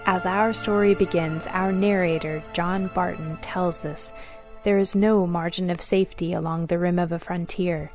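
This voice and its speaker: A woman's voice: lowish voice, fairly even intonation, moderate pace. A woman reading a scripted introduction as the host of a radio drama show. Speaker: host and director. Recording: music under the voice.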